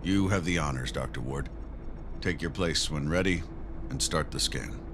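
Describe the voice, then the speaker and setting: An actor playing a steady space mission commander in a video game, speaking in a solemn, measured way. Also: male voice, very deep voice, background noise. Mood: calm, businesslike. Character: steady male space mission commander, a former army pilot. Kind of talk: video game cutscene acting. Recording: loud music behind.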